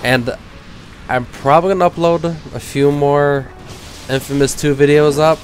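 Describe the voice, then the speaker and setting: A man's voice: a little high, steady tone, normal speed, faint music behind. A man in his thirties with a cold that leaves him nasal, giving rambling, self-deprecating casual gameplay commentary. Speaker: gaming commentator.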